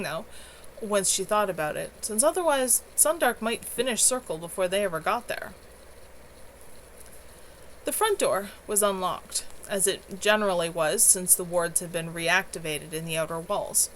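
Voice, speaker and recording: A woman reads fiction aloud in an earnest, expressive storyteller style. Her pitch sits in the middle range, and the delivery is that of an amateur author. Faint background noise sits behind the home recording. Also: normal speed, rough recording. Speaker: author reading own novel aloud. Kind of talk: expressive audiobook-style narration. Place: at home, livestream.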